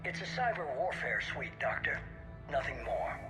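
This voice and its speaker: An actor playing a cold, measured, commanding leader of a shadowy organisation in a video game. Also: male voice, fairly high pitch, sing-song. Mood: cool, dismissive. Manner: measured, authoritative, dismissive. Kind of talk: video game cutscene acting. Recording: music behind the voice.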